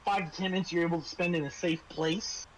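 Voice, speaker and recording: A man acting as game master, describing scenes and explaining rules in a halting way, restarting his phrases. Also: fairly high pitch, steady tone, a little hiss or hum, poor audio. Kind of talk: tabletop RPG narration and casual rules talk.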